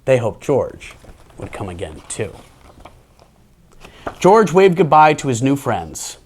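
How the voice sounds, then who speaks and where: Animated male storyteller with swooping pitch. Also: slow pace, a little hiss or hum. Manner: playful, expressive, with dry deadpan asides. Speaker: storyteller reading a children's picture book. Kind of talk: animated storybook reading with wry asides.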